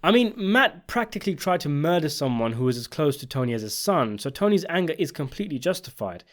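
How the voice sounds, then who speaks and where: A man's voice: a little high, very animated, fast talker. A man narrating a scripted video essay, giving opinionated commentary on a television drama.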